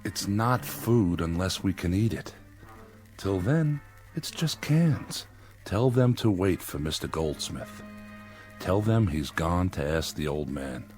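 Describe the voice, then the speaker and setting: An actor playing a weary, stubborn leader of hungry survivors, firm and commanding, in a dramatic radio play. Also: male voice, low-pitched, lively intonation, slow pace, darkish tone. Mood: firm, exasperated. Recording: dramatic music behind the voice.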